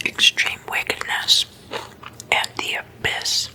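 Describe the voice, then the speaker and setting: A woman hosting ASMR, whispering a scripted explainer in a breathy, deliberate way with measured pauses between phrases. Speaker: ASMR host.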